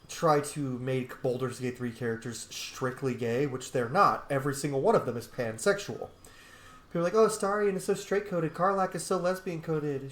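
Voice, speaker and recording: A man giving casual gameplay commentary, blunt, opinionated and quick to swear. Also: a little high. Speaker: gaming streamer. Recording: roomy echo, game music behind. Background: game music.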